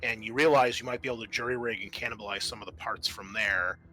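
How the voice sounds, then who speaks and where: A man's voice: lowish voice, quick pace, pushed and bright, slight background noise, low-quality recording. A man acting as game master, explaining rules and situations conversationally in a tabletop roleplaying session.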